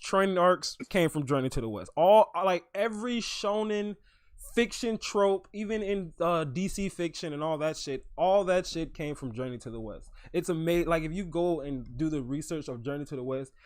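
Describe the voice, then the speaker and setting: A man's voice: fairly high pitch, moderate pace, dry recording, clean studio sound. A man in his twenties hosting a casual anime podcast discussion, talking emphatically with plenty of slang and swearing. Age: 20s. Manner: enthusiastic, emphatic, profane slangy talk. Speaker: podcast host.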